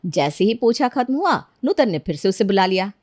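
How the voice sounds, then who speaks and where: A woman's voice: medium pitch, big pitch swings, brisk, darkish tone, no room sound, clean studio sound. A woman narrating a scripted cartoon bedtime story as the storyteller.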